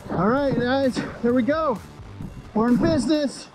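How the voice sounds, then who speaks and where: High-pitched man vlogging outdoors. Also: a little slow, music in the background, poor audio. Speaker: fishing channel host. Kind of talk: casual outdoor vlog talk to viewers. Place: outdoors on an open beach and in a kayak at sea.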